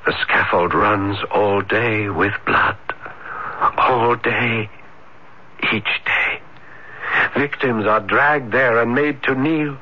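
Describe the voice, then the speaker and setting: An actor playing an anguished, lonely, shy scholar in revolutionary Paris, halting and melodramatic, in an old-time radio drama. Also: male voice, fairly low pitch. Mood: horrified anguish. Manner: halting, repeating himself, melodramatic. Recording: dull, noisy 1970s radio recording.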